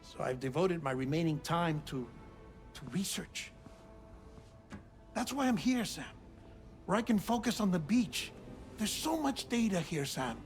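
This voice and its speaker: An actor playing an earnest, dying doctor in a video game cutscene, confessing in deliberate, formal phrases with pauses. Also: male voice, high voice, sing-song, faint music behind. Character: earnest, world-weary male doctor made of transplanted organs, dying. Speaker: doctor and researcher.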